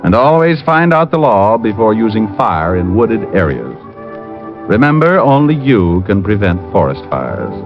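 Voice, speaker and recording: A man's voice: on the low side, sing-song, measured pace, music in the background. A man reading an earnest public service announcement on a 1950s radio broadcast. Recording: dull lo-fi 1950s radio recording.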